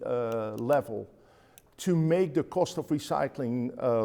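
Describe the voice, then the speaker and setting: A man's voice: fairly high pitch, gravelly, mellow, in a large hall. A man from the Netherlands with a Dutch accent, speaking on a conference panel, hesitant with many fillers and restarts.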